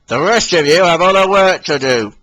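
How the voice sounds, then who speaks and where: A man's voice: sits high, expressive, normal speed, sharp tone, a little hiss or hum, voice pushed up. A man doing a firm, kindly railway boss.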